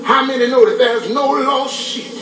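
Very high-pitched theatrical preacher. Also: male voice, fairly even intonation, normal speed, distant mic. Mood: fervent, encouraging. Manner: exaggerated sing-song church exhortation. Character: theatrical, slippery male preacher calling out to his congregation. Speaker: stage actor. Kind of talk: gospel-preacher stage play acting. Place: theatre stage, replayed on a television. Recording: TV playback filmed from across room, echoey, music.

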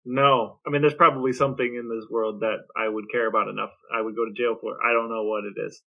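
An American man co-hosting a podcast, bantering casually with a dry, deadpan delivery. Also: on the low side, quick pace, creaky, narrow, tinny audio. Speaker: podcast co-host.

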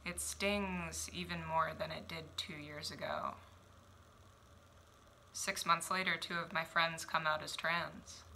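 A woman in her twenties from Oregon performing spoken word poetry at home, measured and reflective, with deliberate pauses. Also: low-pitched, animated, pushed and bright, a little hiss or hum. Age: 20s. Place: small home room indoors. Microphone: headphones and webcam.

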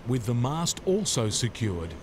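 Slow, suspenseful male TV narrator. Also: mid-range voice, big pitch swings, noisy background. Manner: suspenseful, clipped short phrases. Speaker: documentary series narrator. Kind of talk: dramatic scripted TV narration. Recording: loud music under the voice.

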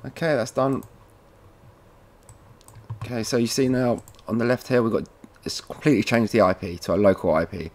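A man presenting a casual, informal step-by-step software tutorial, talking to viewers like mates as he works at a computer. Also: medium pitch, normal speed, long pauses. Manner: informal, addresses viewers as guys.

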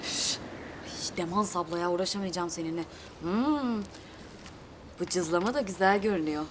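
A woman's voice: fairly low pitch, normal speed. A woman in a comedy sketch speaking bluntly and impatiently. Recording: noisy background.

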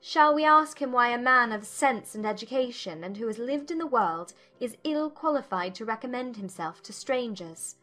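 An actress playing a witty, self-possessed young gentlewoman, poised and teasing, in a dramatized audiobook. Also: fairly high pitch, animated, moderate pace, hardly any pauses, faint music behind. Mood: playful, teasing.

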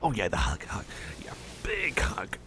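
A man voicing a gentle, caring male cyborg friend in an ASMR roleplay, talking softly and hesitantly with many fillers, sometimes dropping to a whisper. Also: low voice, wildly swooping pitch, a little slow, a little hiss or hum, exaggerated voice. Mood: soothing. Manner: soft, hesitant and rambling, with many fillers and restarts; drops to soft whispers at times. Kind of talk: ASMR roleplay, personal attention.

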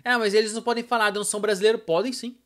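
A man's voice: high voice, steady tone, racing, a bit bright. A middle-aged Brazilian man giving his opinion casually as a vlogger, emphatic and colloquial, speaking straight to his viewers from a room at home. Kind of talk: casual opinion vlog to camera. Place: small home room with arcade machine, indoors.

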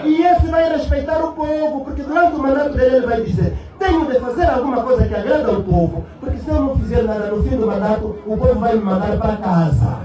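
A man's voice: extremely high pitch, fast talker, few pauses, over music. An Angolan man giving an impassioned political rally speech in Portuguese-accented declamation, throwing rhetorical questions out to his listeners. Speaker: political party secretary addressing a rally. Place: open-sided outdoor rally stand under a canopy. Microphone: cluster of press microphones and PA. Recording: echoing PA, distant, noisy crowd. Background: rally crowd.

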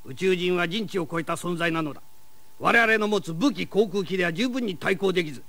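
A man's voice: sits high, brisk, a bit bright, dry recording. A man playing a stern, zealous commander of a secret space force in a grandiose, declamatory audio drama style. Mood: persuasive.

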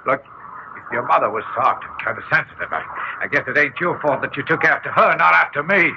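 An actor playing a tough, blustering older businessman father in an old-time radio drama, blunt, rough and slangy in speech. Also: on the high side, a little fast. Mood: defensive. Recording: muffled, noisy old radio recording.

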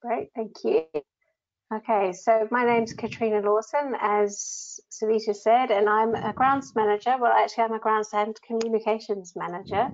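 A woman with a New Zealand accent, a grants manager giving a conversational webinar talk over a video call. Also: mid-range voice. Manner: conversational, with frequent ums. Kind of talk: presenting slides in a webinar. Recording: slightly dull video call audio.

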